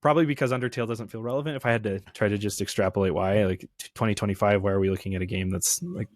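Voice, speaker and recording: A man's voice: fairly low pitch, steady tone, very fast, no echo, studio quality. A young man, an indie game developer, talking casually in an interview and rambling with many fillers and restarts.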